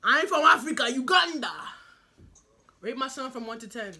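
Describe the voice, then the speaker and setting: Bright-voiced loud New woman. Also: high-pitched, animated, moderate pace, a little hiss or hum. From New York. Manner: loud, joking, trash-talking slang. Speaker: vlogger host. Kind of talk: casual chat, video chatting with strangers.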